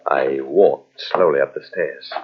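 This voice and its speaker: An actor playing a vengeful, jealous army captain in old-time radio mystery style, with a brooding, menacing, melodramatic delivery. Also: male voice, very deep voice, a little slow, slightly rough, full character voice. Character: vengeful, coldly jealous army captain narrating his tale. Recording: muffled old radio show from cassette.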